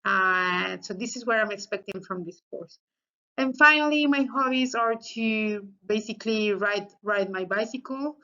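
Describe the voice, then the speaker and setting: A Colombian-accented woman in her thirties whose native language is Spanish introduces herself to a class. Her voice is bright and forceful, and she pauses often with fillers and restarts. The recording is slightly muffled and lo-fi. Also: mid-range voice. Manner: hesitant, many fillers and restarts. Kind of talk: casual self-introduction.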